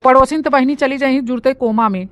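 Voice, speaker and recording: A woman's voice: high-pitched, fairly even intonation, brisk, a bit bright, no echo. A woman voicing a scheming, grumbling young village bride with an eastern Uttar Pradesh accent in a comic cartoon. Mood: panicked, lamenting. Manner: melodramatic, grumbling. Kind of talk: comic cartoon story dialogue in rustic dialect.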